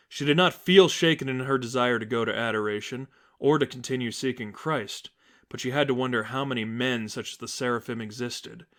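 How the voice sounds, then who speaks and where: A man's voice: medium pitch, fairly bright tone. A man reading fan fiction aloud as a scripted story narrator.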